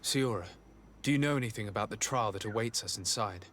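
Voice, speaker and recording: Video game role: courteous young male diplomat played by a man, measured and gentle, long reverb, some noise behind. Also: fairly low pitch, lightly acted. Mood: curious, calm.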